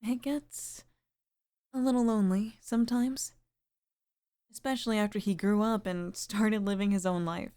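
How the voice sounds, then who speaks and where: A woman voicing a sweet, middle-aged single mother in an intimate ASMR roleplay, speaking softly and flirtatiously with sighs and pauses. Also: mid-range voice, fairly even intonation, close to an everyday voice. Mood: wistful, tender.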